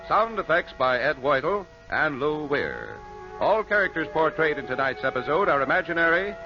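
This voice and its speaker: A man announcing in a formal, measured 1940s radio style. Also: on the high side, dull audio. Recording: band-limited 1940s radio recording. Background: music.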